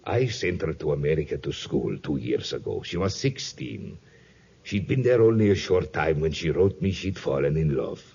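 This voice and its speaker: A man's voice: very deep voice, big pitch swings, moderate pace, gravelly, warm tone, heavily acted. An actor playing a domineering, suspicious plantation owner and father in a 1950s radio drama, formal and self-justifying in manner. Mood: grave. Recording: dull vintage 1950s radio recording.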